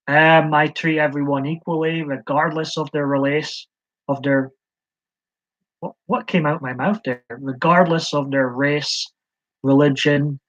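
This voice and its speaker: Man from scotland, rambling live-stream chat, fairly high voice, dull lo-fi audio. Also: fairly bright tone. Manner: rambling, hesitant, frequent ums.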